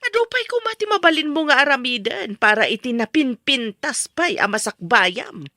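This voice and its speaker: A hurt, middle-aged mother in a radio drama. Her voice is bright, forceful and fairly high, with stern, emphatic reproach in the delivery. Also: sing-song, moderate pace, no room sound, studio quality, full character voice. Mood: reproachful.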